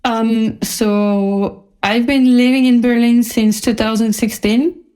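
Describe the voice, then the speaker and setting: A woman's voice: a little high, fairly even intonation, takes it slowly, warm tone, clean tone, rough recording. A woman from Bulgaria, a designer speaking casually as a guest in an interview.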